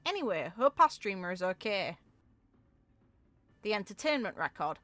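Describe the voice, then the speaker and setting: A woman with a bright, forward voice reads game lore aloud in a dry, close-sounding recording. Her delivery is expressive, and she slips into informal commentary as she goes. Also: mid-range voice, normal speed, no room sound. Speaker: video game content creator.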